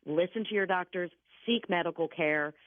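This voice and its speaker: A woman's voice: lowish voice, normal speed, no room sound. A woman giving conversational psychic readings as a guest on a radio call-in show, speaking emphatically and reassuringly and repeating key points. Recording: narrow phone-like line.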